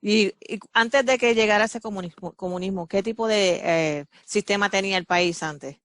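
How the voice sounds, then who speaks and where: A woman hosting a Spanish-language interview, reading a measured, earnest introduction and asking questions. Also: mid-range voice, fairly bright tone. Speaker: host and interviewer. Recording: music playing behind the voice.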